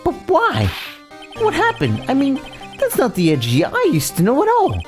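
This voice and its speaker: A woman voicing an excitable, caring young female legal assistant in a video game, emotive and slightly stumbling. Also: high voice, normal speed. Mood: concerned. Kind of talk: reading visual novel game dialogue aloud. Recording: faint music behind the voice.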